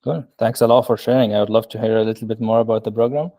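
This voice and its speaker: A man's voice: on the low side, fairly even intonation, quick pace, warm tone. A man from Palestine hosting an interview, brief and encouraging as he hands over to the next guest. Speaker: podcast host.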